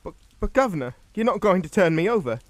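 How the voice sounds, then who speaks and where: Panicky young nitwit son, radio play, high whiny stammer, wildly varying intonation. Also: male voice, big pitch swings, quick pace, dry recording. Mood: pleading. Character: panicky, whining, dim-witted young English son. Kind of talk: radio drama acting.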